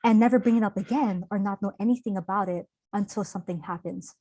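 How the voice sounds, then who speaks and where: A young woman speaks earnestly in an online workshop, her tone open and conversational with easy fillers. Her voice sits at an ordinary middle pitch. The sound is dry and close, like a video call. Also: fast, mellow, no echo. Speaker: workshop presenter.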